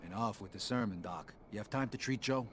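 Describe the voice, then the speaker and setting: An actor playing a guarded, plain-spoken young American mobster in a game cutscene. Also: male voice, lowish voice, brisk, slight background noise, lightly acted. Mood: businesslike. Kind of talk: video game cutscene acting. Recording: music behind the voice.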